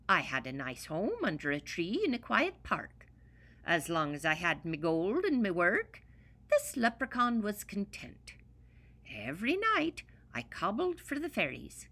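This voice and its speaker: Woman as a tiny cranky leprechaun, Irish brogue, wildly swooping pitch, unhurried storybook telling. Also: heavily acted. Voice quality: brogue-y dialect, very lively sing-song delivery. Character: grumpy old male leprechaun shoemaker, tiny, hoards gold. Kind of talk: storybook narration.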